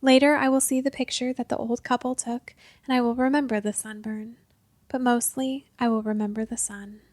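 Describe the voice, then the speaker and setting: A woman narrating a literary short story in a measured, deliberate way, in short careful phrases. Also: a little high, little pitch movement, a little mellow, no echo. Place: close-miked dry recording space.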